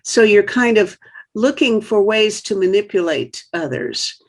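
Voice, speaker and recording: A woman's voice: mid-range voice, expressive, a little slow. Older woman hosting a video chat. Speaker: host. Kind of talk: casual interview chat. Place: home room with bookshelves. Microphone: webcam on a video call.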